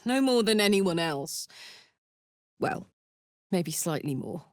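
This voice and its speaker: A woman playing a brash, warm-hearted, tough tiefling warrior in a video game, speaking in a blunt, slangy, heartfelt way. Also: on the low side, sing-song, slow pace, no echo, studio quality. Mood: sincere, easygoing. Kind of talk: video game character voice acting.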